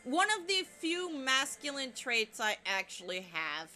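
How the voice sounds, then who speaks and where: A woman's voice: sits high, sing-song, measured pace, sharp tone. A woman with a light, youthful voice casually narrating a video game playthrough in a chatty, self-deprecating way. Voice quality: light, youthful voice she compares to a teen boy's. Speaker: let's play narrator. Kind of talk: casual gameplay commentary. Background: game music.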